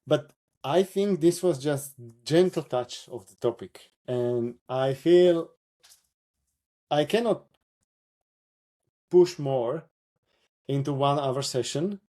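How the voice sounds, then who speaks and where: A man with a Slovak accent, presenting a live software workshop, talking through a demo with many fillers and self-corrections. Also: fairly high pitch, takes it slowly, long pauses.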